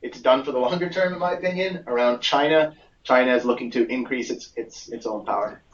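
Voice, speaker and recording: A man giving explanatory commentary as a guest analyst on a conference call, speaking in a measured, halting way and repeating phrases for emphasis. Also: mid-range voice, slight background noise. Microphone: conference call line. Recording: muffled, echoey, far from the mic.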